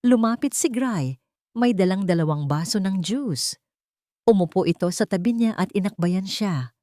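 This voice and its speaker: A woman's voice: medium pitch, expressive, moderate pace, warm tone, dry recording, clean studio sound. A woman narrating a scripted romantic story in a sentimental, dramatic way.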